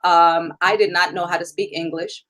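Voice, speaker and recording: A woman's voice: on the low side, fairly even intonation, normal speed, fairly bright tone. A woman in her thirties from Germany, raised speaking German, telling her life story as a guest in a casual interview, candid, with frequent laughs and fillers.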